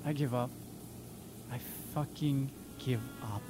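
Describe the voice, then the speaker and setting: A man's voice: mid-range voice, steady tone, slow pace, background noise, natural voice. A man playing an exasperated, world-weary young roommate in a comedic audio drama. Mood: dejected. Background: music.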